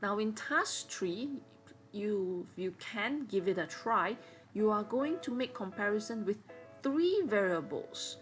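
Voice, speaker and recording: A woman's voice: medium pitch, expressive, slow, soft background music. A woman teacher patiently walking students step by step through programming exercises in a screen-recorded lesson.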